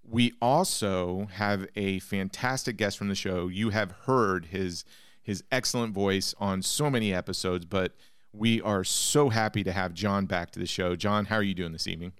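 A man's voice: low voice, normal speed, fairly bright tone, clean tone, dry recording, clean studio sound. A man hosting a film podcast, enthusiastic and a little rambling as he introduces topics and asks questions. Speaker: podcast host.

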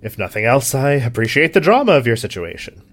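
An actor playing a pompous, eccentric scientist in an audio drama, speaking formally and with precise, theatrical diction. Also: male voice, mid-range voice, very animated, brisk. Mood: earnest, grandiose. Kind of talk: scripted audio drama acting.

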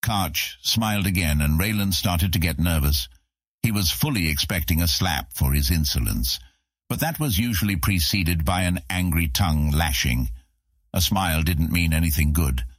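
A man narrating a fantasy audiobook as a scripted story, with a gravelly, husky voice. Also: sits low, normal speed, no room sound.

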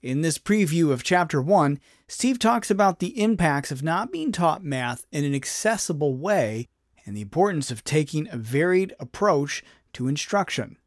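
A man's voice: a little high, lively intonation, moderate pace, dry recording, clean studio sound. A man reading a scripted introduction as the announcer of an audiobook preview. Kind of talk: scripted narration. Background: music.

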